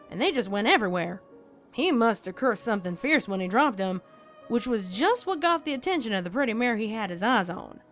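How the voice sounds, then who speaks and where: A woman voicing a deadpan cowgirl pony who tells a spooky tale in a folksy, dramatic way for a fan fiction audio story. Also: fairly high pitch, natural voice. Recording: background music under the voice.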